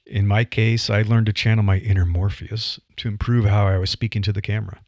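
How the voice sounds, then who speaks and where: A man's voice: fairly low pitch, level tone, a little fast, darkish tone, dry recording. A middle-aged man with a Midwestern accent, a podcaster talking casually about voice delivery with frequent "you know" fillers. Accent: Midwestern American. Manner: conversational, many "you know" fillers, light laughs. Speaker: podcaster and writer. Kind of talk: reflective monologue on voice delivery.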